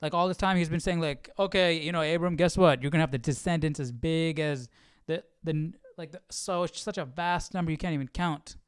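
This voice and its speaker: Male livestream host, smooth voice a bit high, informal and questioning, close dry sound, casual study commentary. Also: brisk, hardly any pauses, no echo. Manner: informal, questioning, thinking aloud. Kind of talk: casual Bible study commentary, reading aloud and reflecting.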